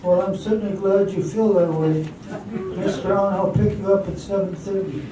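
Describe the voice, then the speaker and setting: A man's voice: high voice, fairly even intonation, moderate pace, background noise, natural voice. A man acting as an eager, nervous suitor in an amateur radio drama, earnest and hesitant. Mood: awkward. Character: eager, nervous young suitor courting a woman. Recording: very echoey hall from far off, music.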